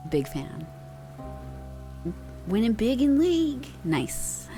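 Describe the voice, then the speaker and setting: A woman's voice: a little high, very animated, extremely slow, warm tone, soft background music, low-quality recording. A young woman streamer chatting casually with her viewers in a slangy, laid-back way, using pet names, on a close microphone.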